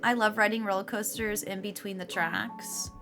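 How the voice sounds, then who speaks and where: A casual woman streamer chats with her viewers in an easy, mid-pitched voice that carries a slight rasp. She laughs often and talks along freely while faint music plays beneath her. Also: steady tone, normal speed. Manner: chatty, laughs often, reacts to chat. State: slightly raspy voice. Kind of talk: casual live-stream chat.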